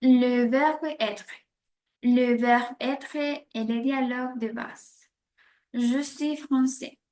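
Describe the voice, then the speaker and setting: A woman giving a scripted French grammar explanation with measured example sentences. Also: fairly high pitch, steady tone, darkish tone. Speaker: French teacher.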